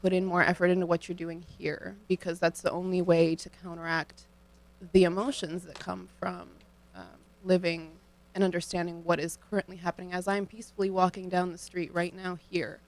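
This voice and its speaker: A young woman from Ohio, a student guest on a radio show, answering questions in an earnest, measured, thoughtful way into a studio microphone. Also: on the low side, little pitch movement, low-quality recording. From Cleveland, Ohio, United States. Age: young adult.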